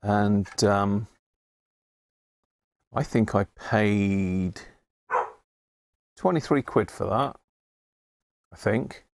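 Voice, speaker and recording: A man with an English accent and a gravelly voice, hosting a hobby electronics live stream in a chatty, rambling way, thinking aloud as he works. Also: on the low side, very slow, long pauses, darkish tone. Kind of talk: casual live-stream chat while working at a bench.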